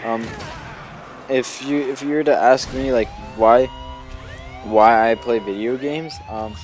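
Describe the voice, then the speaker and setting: Slightly hoarse man rambling over gameplay. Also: mid-range voice, takes it slowly, soft background music. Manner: rambling, full of ums and "you know". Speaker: gaming video host. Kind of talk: casual gameplay commentary. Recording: steady background noise under the voice.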